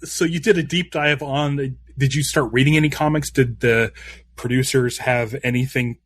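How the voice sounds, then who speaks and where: A man's voice: mid-range voice, fairly even intonation, moderate pace, no room sound. Dude hosting his live stream, eager and rambly, dry close mic sound. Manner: eager, rambling, many fillers and restarts. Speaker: host of a live stream show.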